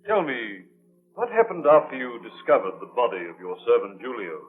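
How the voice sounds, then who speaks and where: A muffled old broadcast features an actor playing a courteous, inquisitive ship's captain. His medium-pitched voice asks questions with formal politeness in vintage radio drama style. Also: very animated, moderate pace, close to an everyday voice. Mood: courteous, curious. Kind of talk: 1930s radio drama acting.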